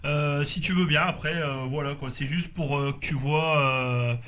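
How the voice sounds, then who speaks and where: A man's voice: on the high side, fairly even intonation, moderate pace, sharp tone. A man chatting casually as a radio operator, repeating his phrases in a hesitant, agreeable way. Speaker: amateur CB radio operator recording the group contact. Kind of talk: casual radio contact chat. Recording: faint background noise.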